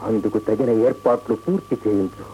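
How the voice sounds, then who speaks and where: A man's voice: lowish voice, lively intonation, quick pace, dark tone, slight background noise, natural voice, low-quality recording. An actor playing an aging, remorseful emperor and father in a radio play, grave, regal and given to sighing. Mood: remorseful, solemn. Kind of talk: radio play acting, solemn. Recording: radio play with faint music.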